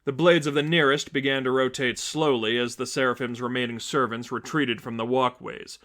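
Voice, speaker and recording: A man reading scripted story narration in a dramatic, deliberate manner. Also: medium pitch, fairly even intonation, a bit bright. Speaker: fan fiction reader, narrator.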